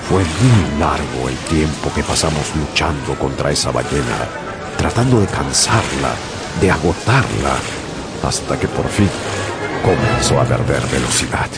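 A man narrating a seafaring story as a sailor in a radio drama, measured and storytelling. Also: rumbling low, expressive, over loud music, heavily acted. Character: man, sailor recounting a whale hunt.

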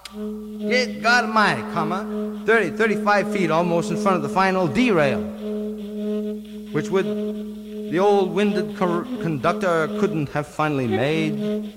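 High-voiced man reading beat prose over loud music. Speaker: writer reading his work. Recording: archive reading, long reverb, loud music.

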